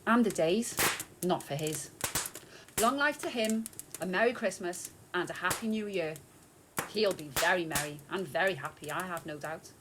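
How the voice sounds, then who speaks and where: A woman's voice: on the low side, expressive, noisy background, close to an everyday voice. A woman playing a busy, spirited mother who runs the holiday meal and speaks tartly of a miser. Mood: indignant. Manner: brisk, spirited, tart. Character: busy, spirited mother, sharp-tongued but loving.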